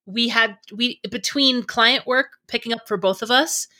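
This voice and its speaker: A woman's voice: a little high, measured pace, pushed and bright. A woman co-hosting a podcast in casual chat, candid and jokily self-deprecating. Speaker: podcast co-host.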